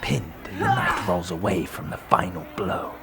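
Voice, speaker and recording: A man narrating a scripted fantasy audio drama in a measured storytelling manner. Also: deep voice, wildly swooping pitch, creaky, loud music behind the voice.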